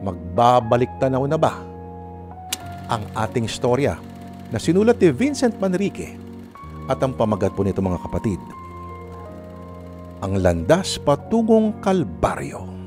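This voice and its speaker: A man's voice: medium pitch, wildly swooping pitch, a little slow, long pauses. A man hosting and narrating a radio drama program, chatty and dramatic, often addressing his listeners directly. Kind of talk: conversational radio hosting and storytelling. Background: music.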